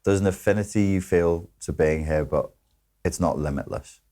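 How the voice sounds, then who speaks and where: Male host, very deep voice, casual interviewer who asks probing questions and makes dry jokes. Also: normal speed, warm tone, a little hiss or hum. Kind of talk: casual conversation.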